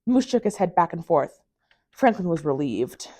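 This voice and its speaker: Animated woman reading a children's story. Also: fairly low pitch, normal speed. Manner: expressive storytelling. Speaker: library staff storytime reader. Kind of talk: children's picture-book read-aloud.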